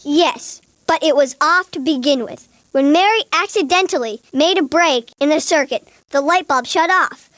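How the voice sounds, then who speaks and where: A woman's voice: sits high, moderate pace, few pauses, a bit bright, no room sound. A woman playing a confident, precise cartoon defense lawyer, assertive as she explains.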